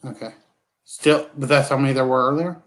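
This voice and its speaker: A man of ordinary pitch plays his turn in a tabletop game. He speaks hesitantly, often pausing to ask questions about the scene. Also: fairly even intonation. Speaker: player.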